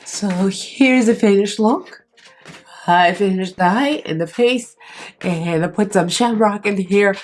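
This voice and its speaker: A woman's voice: medium pitch, takes it slowly, slight background noise. A woman with a stuffy, nasal voice from a cold, chatting casually as she walks through a makeup tutorial. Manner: chatty, rambling with fillers, talks while applying makeup. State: congested, nasal, strained voice from a cold. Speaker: beauty vlogger.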